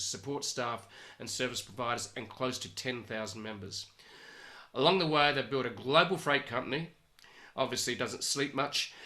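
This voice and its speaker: An Australian man hosting a conversational interview show, speaking in a halting, rambling way. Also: mid-range voice, sharp tone. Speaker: podcast host.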